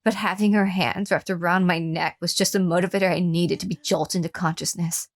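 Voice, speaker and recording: A woman's voice: fairly low pitch, fairly even intonation, brisk, lightly acted. A woman playing a wounded captive recounting her lover's abuse in a horror radio drama. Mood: somber, reflective. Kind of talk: first-person horror radio drama narration with acted dialogue.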